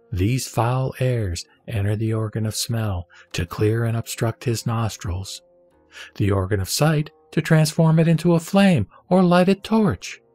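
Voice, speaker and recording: A man's voice: lowish voice, darkish tone, dry recording. Solemn male scripture reciter over music. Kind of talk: solemn dramatic scripted narration of a religious text. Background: background music.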